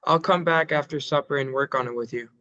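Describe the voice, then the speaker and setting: A man's voice: fairly high pitch, a little fast, pushed and bright, dry recording, dull audio. A man playing a good-natured teenage boy in a screenplay table read. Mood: eager, friendly. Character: Gerald, good-natured skinny teenage boy, slow learner.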